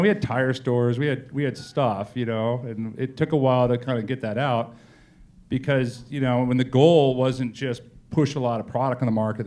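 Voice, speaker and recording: A man's voice: medium pitch, warm tone. A man speaking as a company executive in a casual on-stage interview, telling colloquial stories with frequent asides.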